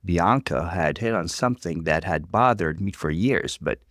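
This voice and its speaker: Expressive male story narrator, dry close recording. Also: low voice, moderate pace, dry recording. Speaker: narrator reading stories.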